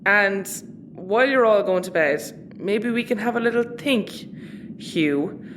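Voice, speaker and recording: A woman's voice: mid-range voice, lively intonation, unhurried, noisy background, natural voice. An actress playing an exasperated, sensible, sardonic spaceship crewwoman in an audio comedy. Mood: coaxing. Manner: dry, reasoning. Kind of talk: audio comedy drama acting.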